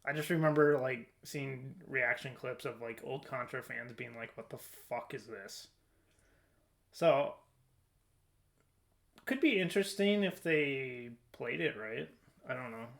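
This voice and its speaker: A man's voice: medium pitch, expressive, a little slow, vocal fry, pauses often, fairly bright tone. A man hosting a podcast, chatting casually in an informal, blunt way. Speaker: podcast host. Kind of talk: casual chat.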